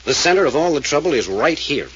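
A man's voice: a little high, sing-song, fast, a bit bright, slightly rough, heavily acted. A man playing a blunt, probing private detective in a 1940s radio drama, questioning insistently. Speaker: hired detective. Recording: dull lo-fi old radio recording with faint hiss.

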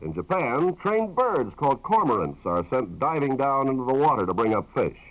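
A man's voice: medium pitch, very animated, normal speed. A man announcing on 1950s radio, reading scripted broadcast narration. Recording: dull vintage radio broadcast recording.

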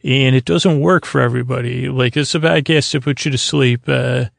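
Creaky-voiced man rambling softly for sleep. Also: mid-range voice, fairly even intonation, dry recording, clean studio sound. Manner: meandering, halting, repeats and trails off mid-thought. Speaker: podcast host. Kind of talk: rambling, soothing bedtime podcast monologue.

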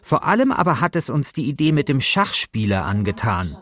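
A man's voice: medium pitch, very animated, brisk, a bit bright. A man narrating a podcast as its host, in a scripted style.

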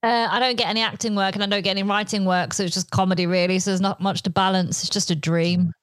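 A woman's voice: mid-range voice, steady tone, quick pace, a bit bright, no echo. A woman of forty, a comedian and guest, talking frankly and a little ramblingly in a casual podcast chat, swearing and leaning on filler words. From Britain. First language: Polish. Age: 40s.

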